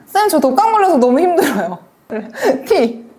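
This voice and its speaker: A woman's voice: sits high, very animated, a little slow, darkish tone. A woman teacher chatting with colleagues, playful and teasing, laughing often. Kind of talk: casual chat among teachers.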